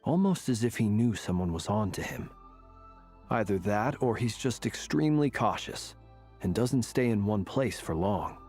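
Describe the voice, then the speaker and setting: A man playing a composed, cautious investigator in a video game, with a husky voice and measured, serious delivery. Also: on the low side, lively intonation, darkish tone, faint music behind. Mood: grave. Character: composed, cautious male investigator, calm leader.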